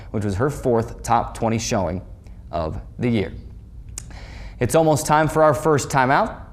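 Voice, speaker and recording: A man's voice: medium pitch, darkish tone. Male sportscaster reading a scripted recap. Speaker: sports show host and play-by-play voice.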